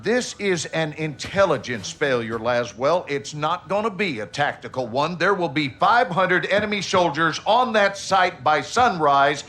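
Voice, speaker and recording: An actor playing a gruff middle-aged army general who gives blunt, profane, clipped orders. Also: male voice, on the high side, animated, measured pace, hardly any pauses, pushed and bright. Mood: angry.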